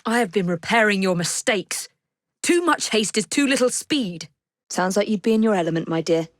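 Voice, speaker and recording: Video game lead, played by a woman: young English Victorian assassin, genteel, brisk, resolute, drily witty. Clean, dry booth recording. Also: medium pitch, animated, dry recording, close to an everyday voice. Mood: dry, teasing. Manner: crisp, formal period phrasing, dry wit. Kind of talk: video game character voice lines.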